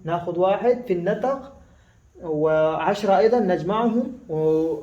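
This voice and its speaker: High-voiced man teaching in an echoey room. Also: mellow, lo-fi sound. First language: Pashto. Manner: halting, with many fillers and restarts, repeating words slowly. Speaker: language teacher. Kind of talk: casual spoken lesson.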